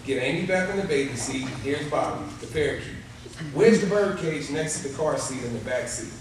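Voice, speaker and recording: An actor playing a brisk, upbeat 1950s family father in a live radio play. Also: on the high side, expressive, close to an everyday voice. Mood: brisk, hurried. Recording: echoey theater, distant mic, clear noise.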